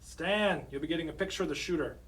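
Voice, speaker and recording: A man's voice: a little high, fast, fairly bright tone, recorded from a distance, lightly acted, low-quality recording. A man playing a sly, controlling college dean in an audio drama. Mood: sly, pleased.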